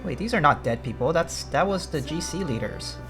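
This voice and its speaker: A man's voice: a little high, expressive, brisk. Casual man commenting over game music. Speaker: streamer reacting to a game.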